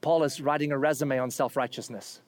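A man preaching a sermon, animated and humorous, acting out quoted figures. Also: medium pitch, brisk, no echo. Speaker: pastor.

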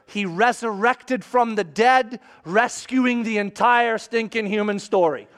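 A man's voice: sits high, measured pace, a bit bright. A middle-aged man, a pastor, giving an emphatic sermon and telling stories to his congregation. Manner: emphatic, storytelling preaching.

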